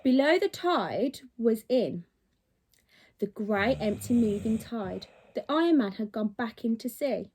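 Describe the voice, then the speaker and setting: A woman's voice: on the high side, animated, measured pace, a little mellow, slight background noise. A woman reading a children's story aloud like a teacher to her pupils, expressively and with dramatic emphasis on key words.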